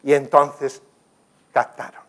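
A man's voice: medium pitch, slow pace. An older man giving a talk with slides in a conference room, telling stories earnestly and gesturing as he speaks. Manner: earnest, storytelling, animated gestures, some restarts. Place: conference room with projector screen.

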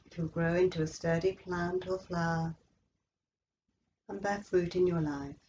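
A woman leading a guided yoga relaxation meditation in a slow, measured, soothing way with long pauses. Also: fairly low pitch, steady tone, darkish tone, lo-fi sound, a little hiss or hum. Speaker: yoga nidra instructor.

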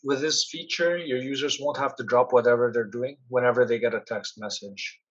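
Male demonstrator for app tutorial, step-by-step delivery, husky tone, dull lo-fi audio. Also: mid-range voice, steady tone, brisk, fairly bright tone. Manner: instructional, step-by-step. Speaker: product demonstrator. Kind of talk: software tutorial narration.

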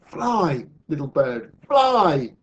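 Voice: mid-range voice, sing-song, slow pace, a little mellow, highs cut off, pitched above the performer's own voice. A storyteller voicing a confident, imaginative young girl in a storybook read aloud. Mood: coaxing, confident.

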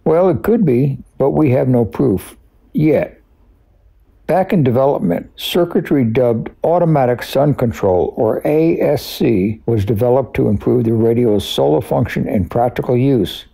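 Man, very gravelly, husky, dark tone, scripted conversational history narration, collector expert. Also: medium pitch, measured pace, low-quality recording. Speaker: radio collector and expert guest.

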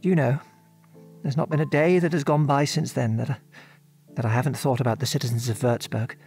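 A man's voice: mid-range voice, fairly even intonation, fairly quick, a little mellow, breathy edge. Audio drama: British ex-RAF father, reflective, hesitant, genteel, haunted by remorse, music underneath. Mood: remorseful, haunted. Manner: hesitant, reflective, genteel old-fashioned turns of phrase. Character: remorseful, modest English father, former RAF bomb aimer. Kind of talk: audio drama acting, reminiscing monologue.

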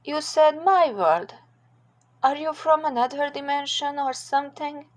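A woman voicing a shy, gentle young man in an amateur comic dub. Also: sits high, measured pace, pitched above the performer's own voice. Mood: unsure.

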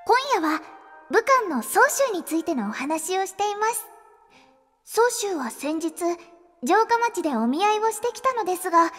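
Very high-pitched sweet girl empress. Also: normal speed, faint music behind, exaggerated voice. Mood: cheerful, polite. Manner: polite, earnest, girlish, excitable. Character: sweet, dreamy thirteen-year-old girl empress. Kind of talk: anime-style audio drama acting.

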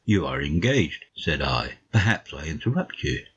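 A man playing a courteous gentleman narrator, telling a detective story in a measured, literary way, on a dull recording. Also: rumbling low, very animated, husky, full character voice.

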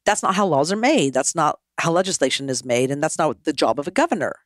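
Animated woman telling her story, dry sound. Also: sits low, fast talker, dry recording. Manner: animated, acts out quoted dialogue. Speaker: podcast guest, former mayor. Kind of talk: storytelling conversation.

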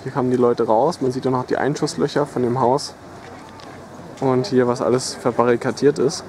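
A man giving casual, halting travel narration outdoors into a handheld camera. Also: mid-range voice, fairly even intonation, crowd noise. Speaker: travel vlogger. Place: outdoors by streets. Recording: very noisy outdoor camera sound, traffic. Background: loud outdoor and car noise.

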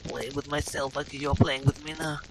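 A man's voice: mid-range voice, little pitch movement, moderate pace, very noisy, lightly acted. A man voicing a lewd, brazen woman adventurer in broken English, improvising over gameplay. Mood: pleading. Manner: breathy, leering, broken English.

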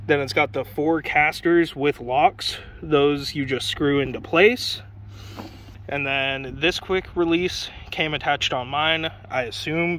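A man's voice: a little high, a little hiss or hum. A young man casually reviewing a pressure washer and foam cannon outdoors beside a car, chatty and swearing now and then, recorded on a handheld phone. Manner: casual, chatty, curses when excited. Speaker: car detailing vlogger.

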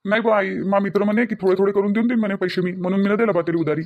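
A man plays a naive young cartoon son who keeps explaining himself with a whiny defensiveness. His voice is rather high and very fast, with a Varhadi Marathi accent. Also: fairly even intonation, phone-quality sound. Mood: defensive.

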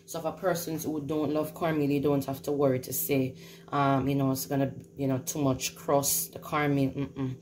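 A woman's voice: very deep voice, flat delivery, moderate pace, a little mellow, roomy sound. A woman hosting a casual home cooking demonstration, instructing and repeating phrases for emphasis. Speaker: home cook hosting a recipe video. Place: indoors in a home kitchen. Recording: clearly noisy home recording.

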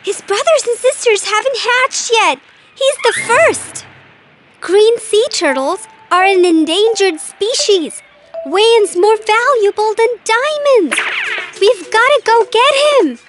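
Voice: very high-pitched, animated, takes it slowly, heavily acted. A performer voicing a bold, earnest, knowledgeable little girl in a children's cartoon, emphatic as she explains. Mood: excited, urgent. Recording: loud background music.

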